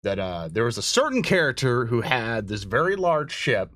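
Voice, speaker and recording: A man's voice: mid-range voice, very animated, normal speed, fairly bright tone, no room sound, clean studio sound. A man from Louisiana hosting a casual chat in a rambling, informal way. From Louisiana, USA. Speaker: host.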